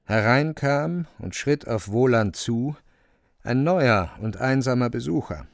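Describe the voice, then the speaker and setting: A man's voice: mid-range voice, expressive, takes it slowly, gravelly, no echo, clean studio sound. A man narrating a literary radio play in a scripted storytelling style.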